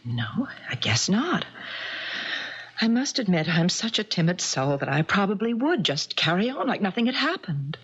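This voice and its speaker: A timid, genteel middle-aged wife in an old radio mystery. Her breathy, husky voice wavers and moves expressively up and down. The sound is a little muffled, like a vintage broadcast. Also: mid-range voice, very animated, moderate pace, a bit bright. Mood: hurt, timid. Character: timid, trusting older wife, shocked and betrayed. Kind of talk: 1970s radio mystery drama acting. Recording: slightly dull vintage radio recording.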